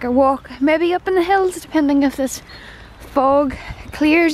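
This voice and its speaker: High-voiced woman chatting on a woodland walk. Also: slight background noise. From Northern Ireland. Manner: chatty, enthusiastic, talking while walking outdoors. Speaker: travel vlogger. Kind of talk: casual vlog narration while walking. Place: outdoors in woodland park.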